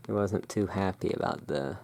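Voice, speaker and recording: A hushed, soothing and unhurried voice in an ASMR roleplay, playing the owner of a gothic curiosity shop. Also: sits low, gravelly, breathy edge, no echo. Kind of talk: ASMR roleplay, showing tarot cards.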